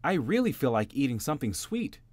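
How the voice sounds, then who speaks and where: A man voicing a greedy, impulsive little boy bunny in a children's storybook, with high, childlike squeals. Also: big pitch swings, a little fast, a little mellow, no echo. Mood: eager. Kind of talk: storybook reading.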